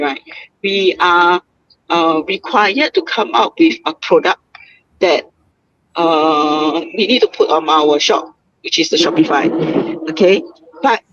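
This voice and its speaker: A woman's voice: medium pitch, a bit bright, no room sound, dull audio. A woman from Singapore hosting a casual live stream, chatty, with many fillers and frequent laughs, talking on her way home. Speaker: host. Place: moving vehicle on the way home.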